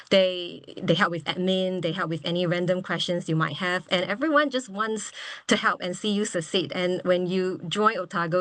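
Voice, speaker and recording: A woman in her thirties from Singapore talks about her MBA over a video call, with a lowish voice. Her tone is frank and upbeat, and she laughs often. Her sound is dry and close. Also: fairly bright tone, dry recording. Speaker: MBA graduate guest. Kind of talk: candid webinar testimonial.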